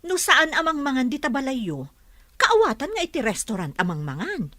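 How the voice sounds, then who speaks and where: Animated, concerned neighbour auntie, Ilocano accent. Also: female voice, high voice, moderate pace, dry recording, full character voice. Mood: concerned, inviting. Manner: inquisitive, sympathetic, gently reproachful. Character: concerned, kindly neighbour woman, addressed as auntie. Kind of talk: Ilocano radio drama acting.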